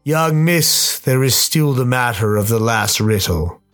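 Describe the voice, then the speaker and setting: A man playing a sly, towering demonic gardener in a radio play, courteously menacing, teasing and unhurried. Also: mid-range voice, expressive, a bit bright. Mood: gloating. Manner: unhurried, teasing, mock-polite. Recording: music behind the voice.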